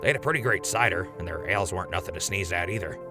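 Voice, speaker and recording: A chatty, nervy radio host of the wasteland, acted by a man, wisecracking his way through a radio drama. The voice sits low with a heavy gravelly fry, over a bed of music. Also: rapid-fire. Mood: breezy salesman. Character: chatty wisecracking male post-apocalyptic radio host, nervy but loyal. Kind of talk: radio drama, in-character sponsor reads and banter.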